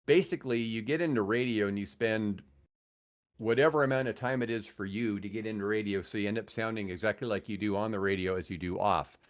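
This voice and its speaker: An older man with an American accent, telling rambling, animated anecdotes casually as a radio veteran on a podcast. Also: lowish voice, a little fast. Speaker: radio host and guest.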